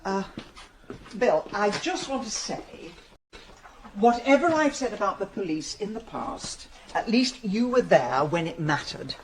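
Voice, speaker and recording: A woman with a British accent playing a sharp-tongued, middle-aged amateur detective in a radio drama, dry and sardonic. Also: mid-range voice, lively intonation, unhurried, a little hiss or hum, natural voice, poor audio. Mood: wry.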